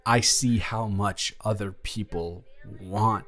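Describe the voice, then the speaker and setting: A man playing an awkward, affectionate high school boy in a romantic audio roleplay, speaking in a rambling, stammering way. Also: fairly even intonation, fairly bright tone. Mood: insecure, earnest. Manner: halting, rambling, stammering, self-deprecating.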